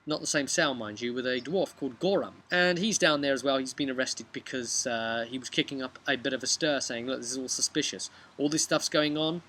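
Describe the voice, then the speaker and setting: A man in his thirties talking casually to camera as a game master, conversational and a little halting, thinking aloud as he goes. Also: mid-range voice, sharp tone. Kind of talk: casual vlog recap of a role-playing session. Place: outdoors on a bench beside a brick house.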